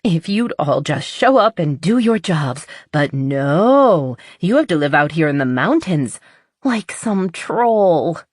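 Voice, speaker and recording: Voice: mid-range voice, very animated, takes it slowly, no room sound, clean studio sound. A narrator voicing a talkative, exasperated young woman with a haughty but playful streak in an audiobook. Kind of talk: audiobook character dialogue.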